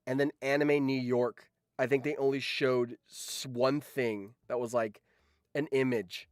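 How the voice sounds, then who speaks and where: A man hosts a casual livestream in a mid-pitched voice. He rambles through his thoughts and swears freely. The sound is dry, with hardly any room in it. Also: fairly even intonation, a little slow, no room sound. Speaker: livestream host.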